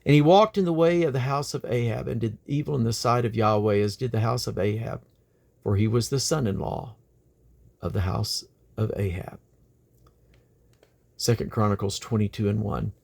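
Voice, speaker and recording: A man reading the Bible aloud in a creaky voice, delivered deliberately, phrase by phrase, with pauses. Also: fairly low pitch, a little mellow. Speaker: Bible reader. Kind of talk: scripture reading aloud from the King James Bible.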